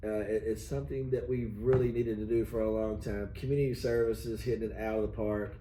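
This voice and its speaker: A hoarse middle-aged man hosting a union video chat, folksy and rambling with many fillers. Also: on the low side, fairly even intonation, brisk, mellow. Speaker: union local president, host. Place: union hall. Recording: echoey room, faint noise.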